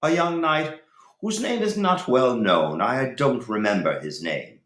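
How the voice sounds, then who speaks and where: A man voicing a bold, loyal hooded pilgrim from a storybook, deliberate and emphatic in his speech. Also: medium pitch, very animated, a bit bright, pitched above the performer's own voice. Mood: calm, assured. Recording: roomy, echoey room.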